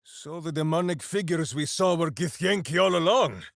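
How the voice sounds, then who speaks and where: A man's voice: fairly high pitch, moderate pace, gravelly, no room sound, clean studio sound. A man playing a dim, big-hearted warrior hero in a video game, boisterous and theatrical, speaking of himself in the third person. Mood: earnest, simple-hearted. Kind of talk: video game character voice lines.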